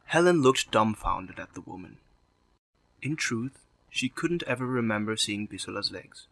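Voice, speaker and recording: A man narrates a scripted story in a fairly low voice. His tone is crisp-edged and forceful as he tells the drama. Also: lively intonation, moderate pace.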